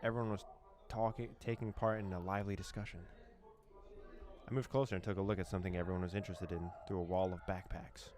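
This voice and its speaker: A young man from the former USSR reading a horror visual novel aloud, with quick laughs and reactions between lines. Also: sits low, little pitch movement, darkish tone. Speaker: let's play host.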